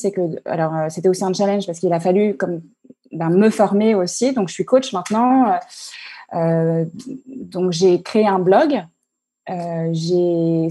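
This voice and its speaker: A woman coach answering interview questions on a video call, halting and full of fillers and restarts. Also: fairly low pitch, dark tone, husky. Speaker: online coach and entrepreneur.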